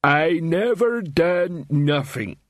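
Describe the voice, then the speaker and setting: A man voicing a dim, sullen troll in an audiobook, growling slowly in broken grammar. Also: fairly high pitch, lively intonation, dry recording, studio quality, voice pushed up. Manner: slow, ungrammatical speech. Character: dim, sullen, suspicious hulking male troll, protesting innocence.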